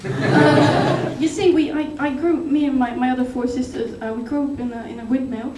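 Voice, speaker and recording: A Dutch-accented woman with a high voice works through a stand-up set, full of hesitations and deadpan asides. She sounds distant from the microphone in an echoey club room with loud background noise. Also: normal speed. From Netherlands. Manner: rambling, hesitant, deadpan absurd. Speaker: stand-up comedian. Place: comedy club stage. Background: audience laughter.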